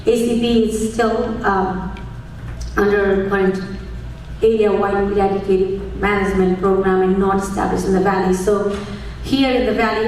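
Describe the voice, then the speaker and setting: A woman, giving a measured conference talk as an entomologist, often pausing with "um". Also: medium pitch, a little mellow. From Nepal. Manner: measured, many "um" pauses, friendly and explanatory. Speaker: extension entomologist speaking at a conference. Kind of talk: conference presentation. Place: large conference hall. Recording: echoey hall, voice from far off mic, faint noise.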